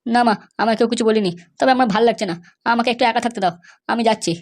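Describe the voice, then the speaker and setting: A woman's voice: on the high side, racing, a little mellow, close to an everyday voice. A woman voicing a sulky, downcast young son in a cartoon, sullen and pleading. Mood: sulky, dejected. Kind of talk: cartoon dialogue.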